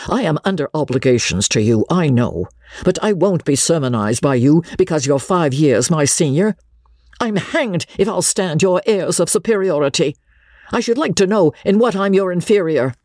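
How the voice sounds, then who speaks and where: A man voicing a hot-headed, haughty young army officer in a storybook reading, with old-fashioned gentlemanly speech. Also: mid-range voice, very animated, unhurried, slightly rough, no echo, clean studio sound, exaggerated voice. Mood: indignant.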